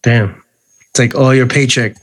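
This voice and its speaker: A man's voice: mid-range voice, fairly even intonation, normal speed. A man from New Jersey hosting a podcast interview in a casual, informal way, joking and swearing freely. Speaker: podcast host interviewing a band. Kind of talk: casual chat.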